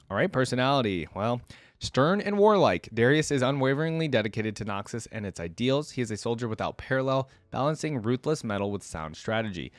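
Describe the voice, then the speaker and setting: A man's voice: on the low side, no room sound. A man hosting a reaction video, reading game lore aloud and dropping in informal, casual asides. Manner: informal asides between read passages.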